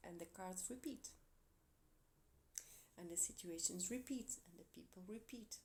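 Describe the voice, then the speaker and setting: A woman from Portugal, speaking English as a second language with a Portuguese accent, giving an earnest, encouraging tarot reading with soft, quiet asides. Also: fairly low pitch, a little slow, slight background noise. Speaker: tarot reader. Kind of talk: tarot reading for a zodiac sign.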